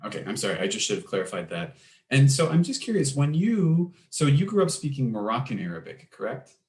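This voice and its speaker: A man's voice: medium pitch, very animated, a little fast, a little mellow. A man interviewing a guest, asking curious questions, apologizing and restarting his sentences as he clarifies. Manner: apologetic, curious, repeats himself while questioning. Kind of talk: conversational interview. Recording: echoey room.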